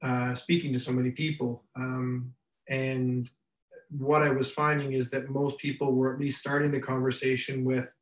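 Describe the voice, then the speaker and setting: Halting man on a roomy webcam. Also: medium pitch, little pitch movement. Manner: halting, many fillers and repeated starts. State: raspy from much talking. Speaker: business mentor presenting a webinar. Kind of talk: unscripted slide presentation. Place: home room, indoors. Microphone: webcam on a video call. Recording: roomy webcam sound from a distance.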